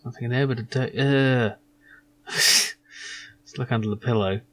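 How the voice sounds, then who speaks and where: A man's voice: mid-range voice, fairly even intonation, a little slow, fairly bright tone. A man giving loose, rambling commentary as he plays a video game and reads its text aloud. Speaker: video game let's player.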